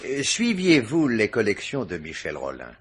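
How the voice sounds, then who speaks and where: Husky, swooping-voiced police commissioner. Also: male voice, on the low side, wildly swooping pitch, fairly bright tone, exaggerated voice. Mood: probing. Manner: terse questioning, formal, deliberate. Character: probing, courteous male police commissioner. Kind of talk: vintage French radio mystery acting.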